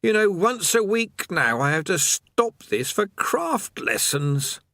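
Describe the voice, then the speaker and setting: A haggard, weary damned man in chains, as a male narrator puts him on, moaning in a high, lively, plaintive voice. The tone is bright and close, with no room sound. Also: slow, no echo, full character voice. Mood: complaining. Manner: plaintive, grumbling.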